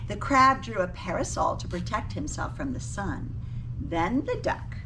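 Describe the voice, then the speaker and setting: A woman reading a picture book aloud to children, expressively, pausing to ask her young listeners questions. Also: medium pitch, big pitch swings. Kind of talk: animated storybook read-aloud for children. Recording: distant mic with faint background noise.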